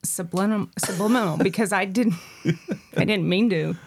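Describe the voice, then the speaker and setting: A woman co-hosting a family podcast, asking the guest questions in an easygoing, warm way. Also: medium pitch, moderate pace, breathy edge. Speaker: podcast co-host. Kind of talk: casual family podcast chat.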